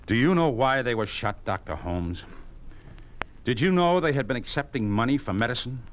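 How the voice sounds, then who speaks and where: An actor playing a solemn, principled doctor in a 1950s radio drama, weary and indignant, with clipped, emphatic delivery. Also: male voice, mid-range voice, animated, normal speed, lightly acted. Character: grave, principled small-town male doctor, weary and indignant. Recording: noisy old 1950s radio recording.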